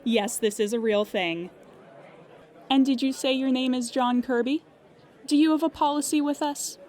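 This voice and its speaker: Casting: female actor as unflappable call-centre claims agent, slightly high pitch, measured, courteous and matter-of-fact, for comic audio fiction. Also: a little mellow, some chatter behind, lightly acted. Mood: polite, businesslike. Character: calm, formal, genderless insurance claims phone agent. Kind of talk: improvised comedic audio drama phone call.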